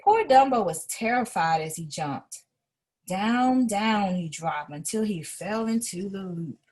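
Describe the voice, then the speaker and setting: A woman reading a children's story aloud in an expressive way, as an educator would to a young listener. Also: mid-range voice, a little slow.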